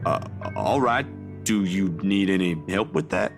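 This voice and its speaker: A man's voice: deep voice, lively intonation, unhurried, gravelly, music in the background, voice pushed down, exaggerated voice. A man voicing an earnest young male reporter who asks polite questions.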